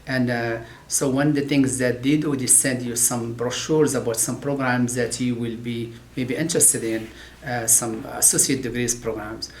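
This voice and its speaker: Halting non-native English-speaking man. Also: mid-range voice, steady tone, reverberant, a little hiss or hum. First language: not English. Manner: halting, many "uh" fillers and restarts. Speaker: occupational therapy professor. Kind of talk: interview talk about his field.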